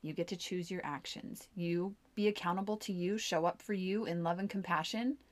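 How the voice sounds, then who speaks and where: A woman's voice: lowish voice, normal speed. A woman co-hosting a podcast, a mom giving upbeat, encouraging advice in casual chat. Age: adult mom. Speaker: podcast co-host.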